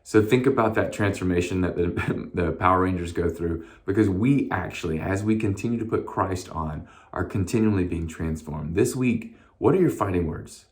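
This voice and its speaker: A man with a hoarse voice giving a short, casual devotional message as a pastor, with many fillers and restarts. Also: sits low, normal speed.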